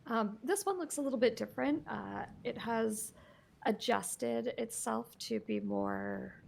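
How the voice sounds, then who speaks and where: Woman, game master, tabletop narration, heavy vocal fry, halting delivery, filler words. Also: fairly high pitch, darkish tone, a little hiss or hum. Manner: halting, descriptive, many fillers and restarts.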